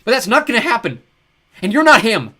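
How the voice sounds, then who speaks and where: A man's voice: high voice, big pitch swings, fast talker, voice pushed up, exaggerated voice. A man doing a scared, defiant boy. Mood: upset. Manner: shaky, pleading and shouting. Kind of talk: audiobook character voice.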